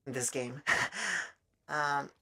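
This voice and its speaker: A streamer with a raspy, dry-throated voice, chatting casually in a halting way and often breaking off mid-thought. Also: medium pitch, level tone, sharp tone. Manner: halting, self-interrupting, trailing off mid-thought. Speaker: live streamer. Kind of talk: casual rambling chat while gaming, giving art advice.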